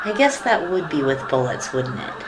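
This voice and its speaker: A woman's voice: sits low, big pitch swings, moderate pace, background noise. A woman playing a fretful, naive, innocently questioning housewife in a classic sitcom radio play. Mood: innocently curious.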